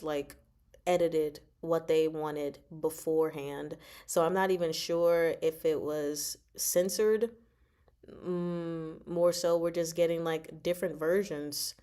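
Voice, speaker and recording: Female livestream host, slightly lower register, informal and playful, rambling through her thoughts while talking with viewers. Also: darkish tone. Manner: rambling, thinking aloud, playful. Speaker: host of a book discussion livestream. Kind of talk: casual chat, answering viewer comments.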